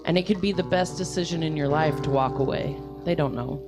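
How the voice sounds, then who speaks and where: A woman's voice: sits low, brisk, music in the background. A woman musician talking casually as a guest on a show and introducing songs.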